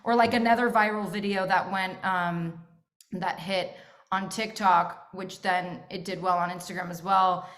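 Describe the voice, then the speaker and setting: A young woman from San Francisco, a guest chatting casually in an interview, animated and full of fillers. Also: fairly low pitch, measured pace. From San Francisco, USA. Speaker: guest, actress and content creator.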